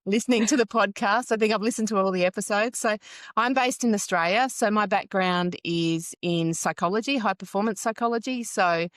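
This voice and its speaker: Brief: woman, 50s, from Wales, smooth-voiced podcast guest, chatty storyteller, dry close sound. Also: medium pitch, fairly quick, fairly bright tone, dry recording. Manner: chatty, storytelling, frequent ums. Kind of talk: casual interview chat.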